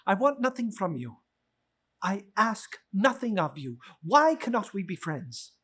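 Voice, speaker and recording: A performer plays a good-natured young nephew from a classic storybook. The voice is husky and very animated, earnest and theatrical, rising into exclamations. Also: mid-range voice, unhurried, heavily acted. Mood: pleading, good-natured. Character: cheerful, earnest young nephew, warm and pleading. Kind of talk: dramatic reading of a classic story.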